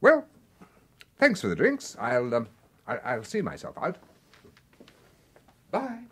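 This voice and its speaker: An actor playing a sly, clubby British intelligence officer in a radio crime drama, hesitant and insinuating. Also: male voice, medium pitch, huge pitch swings, takes it slowly, a bit bright, husky, heavily acted. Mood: coaxing. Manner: hesitant, insinuating, repeats himself.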